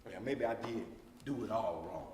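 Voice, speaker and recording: A man's voice: on the low side, lively intonation, normal speed, background noise, close to an everyday voice. An actor performing a stage monologue as a defensive working man, pleading passionately with his wife. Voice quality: impassioned, pleading. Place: performance room. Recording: echoey room.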